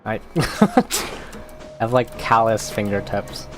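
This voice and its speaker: A man's voice: lowish voice, expressive, slow pace, a bit bright, soft background music. A man streaming a game, chatting casually in a rambling, joking way. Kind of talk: casual chat over gameplay.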